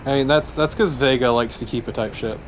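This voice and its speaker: A man's voice: mid-range voice, a little fast, dull audio, slight background noise. A man co-commentating on a video game in casual, wry banter. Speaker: let's play co-commentator. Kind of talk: casual banter over gameplay.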